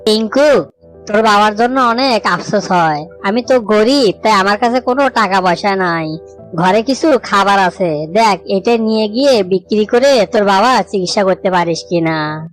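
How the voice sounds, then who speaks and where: A woman's voice: medium pitch, animated, normal speed, soft background music, lightly acted. A woman voicing a poor but generous pigeon auntie in a cartoon story, speaking with sympathy. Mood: sympathetic.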